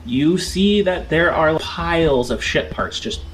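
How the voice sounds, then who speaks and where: A man narrating a tabletop game as game master, describing scenes in halting, improvised phrases. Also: on the high side, expressive. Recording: background music under the voice. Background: music.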